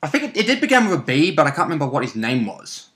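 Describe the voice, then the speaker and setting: A man's voice: a little high, huge pitch swings, racing, a bit bright. A man in casual chat, recapping events from a role-play game he took part in.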